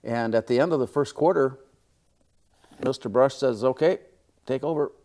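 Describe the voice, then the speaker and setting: An older man from Minnesota, a radio announcer reminiscing in an interview with measured, hesitant phrasing. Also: medium pitch, a crowd in the background. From Minnesota, USA.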